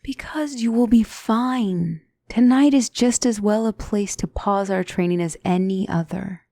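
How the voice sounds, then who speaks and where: A woman playing a gentle demonic mentor in an ASMR fantasy roleplay, speaking softly with formal, measured, reassuring diction and often near a whisper. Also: mid-range voice, no room sound. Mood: calm reassurance. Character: gentle demonic tutor, female mentor to a young royal.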